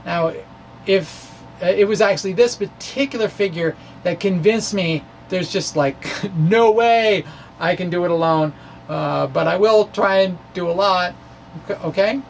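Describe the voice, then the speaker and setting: Halting, high-pitched man, slow theatrical delivery. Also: vocal fry, slight background noise. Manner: halting, theatrical, self-deprecating. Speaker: physics blogger presenting his own talk. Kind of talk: dramatic reading of a blog with off-script asides.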